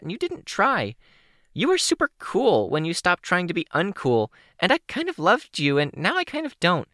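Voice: mid-range voice, sharp tone, no room sound, studio quality, pitched above the performer's own voice. A chirpy young teen girl. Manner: rapid, rushing bursts of words. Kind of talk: audiobook character voice.

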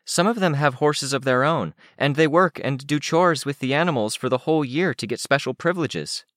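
A man's voice: medium pitch, a little fast, a bit bright, dry recording, clean studio sound, voice pushed up, natural voice. A man voicing a blunt, needling teenage girl who scolds and teases in an audiobook story. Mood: firm. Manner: scolding, teasing.

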